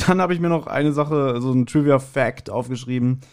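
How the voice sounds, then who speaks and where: A man speaks at a middling pitch in a casual podcast discussion. He explains things in a colloquial way, as a co-host would, and often looks for agreement from the other person. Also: normal speed, a little mellow.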